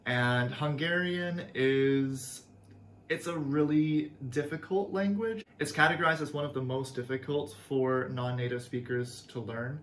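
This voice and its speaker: A man's voice: medium pitch, fairly even intonation, unhurried, a bit bright, a little hiss or hum. A young Canadian man, a language teacher, explaining things in a friendly, earnest way with some fillers. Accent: Canadian English. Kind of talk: casual video lesson. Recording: echoey room, faint ambience.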